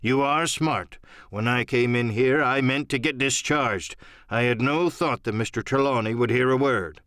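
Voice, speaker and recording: A man's voice: medium pitch, normal speed, a bit bright, clear, resonant tone, no room sound, close to an everyday voice. A stern, middle-aged sea captain, acted by a man for an audio adventure play. He speaks bluntly and formally in clipped phrases, plain and dutiful, with a close, dry sound. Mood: frank, unbending. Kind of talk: audiobook radio-play acting.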